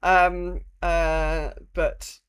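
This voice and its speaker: A woman's voice: lowish voice, little pitch movement, extremely slow, clear, resonant tone. Woman podcast co-host, informal and rambling. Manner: informal, rambling, mild swearing. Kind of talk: casual chat about gaming news.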